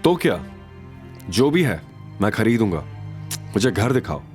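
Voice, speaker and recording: Steady, self-assured young man, in the narrator's own voice, audio drama lead. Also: fairly low pitch, a little slow, soft background music. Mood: calm. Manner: calm, assured. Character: confident young man, calm and determined.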